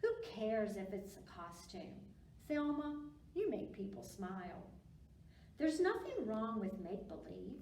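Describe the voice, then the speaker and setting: A woman's voice: medium pitch, big pitch swings, unhurried, warm tone. A woman voicing a loyal, encouraging pony best friend from a storybook, earnest and reassuring. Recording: roomy, distant mic with background noise.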